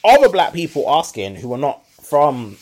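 A Brummie man talking on his podcast in a lively, opinionated way. His pitch leaps around a lot, and he often drops to a mutter before rising loud again. He is blunt and swears freely. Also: moderate pace, low-quality recording. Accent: Birmingham English. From Birmingham, England. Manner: animated, blunt, swears freely, swings between loud and quiet. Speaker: podcast host. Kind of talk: casual opinionated chat.

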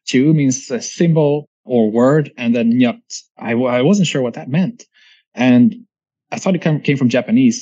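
A man's voice: medium pitch, darkish tone. Swedish-accented man, dull lo-fi audio. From Sweden. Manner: reflective, chatty, frequent restarts and "right?" tags. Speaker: guest. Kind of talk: casual interview conversation.

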